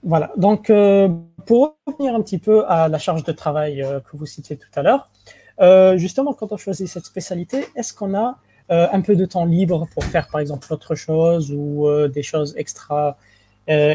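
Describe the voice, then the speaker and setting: An interviewer asks long, polite questions, pausing often on fillers. The voice is mellow and of middle pitch, recorded close and dry. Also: no room sound. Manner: hesitant, many fillers, polite.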